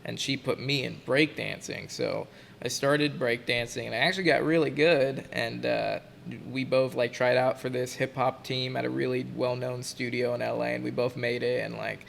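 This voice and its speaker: Young male podcast guest, gravelly and sharp-toned, laid-back slangy storyteller, echoey room with a faint hiss. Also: medium pitch, steady tone, normal speed. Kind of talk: casual chat.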